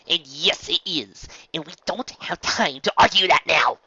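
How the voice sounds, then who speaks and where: A narrator voicing a brisk, confident, slightly evasive female unicorn magician in a storybook fan fiction. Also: medium pitch, expressive, bright, forceful tone, breathy edge, no echo, lo-fi sound, voice pushed up.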